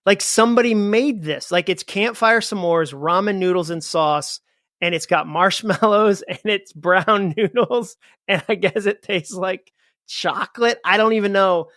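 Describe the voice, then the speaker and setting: A man in his forties from New England, a podcast guest telling rambling, animated stories with lots of fillers. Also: sits high, measured pace, no echo, studio quality. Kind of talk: casual conversation.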